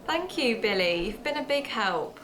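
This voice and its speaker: An actress playing a brisk, dry-humoured woman who makes an amateur true-crime podcast, in an audio drama. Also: on the high side, sing-song, a crowd in the background. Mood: businesslike.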